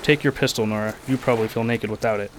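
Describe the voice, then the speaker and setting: A man's voice: lowish voice, steady tone, fast talker, noisy background. A man playing a firm, commanding passenger who takes charge in a radio drama.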